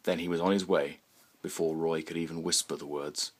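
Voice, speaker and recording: A man's voice: rumbling low, fairly even intonation, a little fast. A middle-aged man with a British accent reading his own short story as scripted narration, close to the mic indoors.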